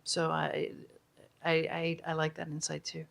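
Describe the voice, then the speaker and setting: A woman's voice: low voice, monotone, unhurried, vocal fry. A woman moderating a live audience discussion at a conference, energetically prompting the room for takeaways. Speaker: summit facilitator.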